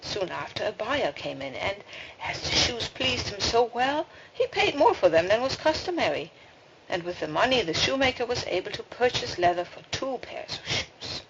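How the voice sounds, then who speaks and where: Woman reading fairy tales, very animated pitch. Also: normal speed. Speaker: storybook reader. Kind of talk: expressive fairy tale read-aloud. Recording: dull, noisy home recording with clicks.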